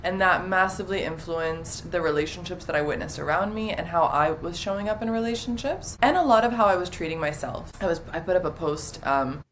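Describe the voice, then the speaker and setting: A woman's voice: fairly low pitch, a little fast, few pauses, a little hiss or hum. A young woman in her twenties, a relationship coach, talking casually and openly with many fillers as an interview guest. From half Dominican, half Italian, partly raised in the US. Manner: chatty, many "like" fillers, warm and open.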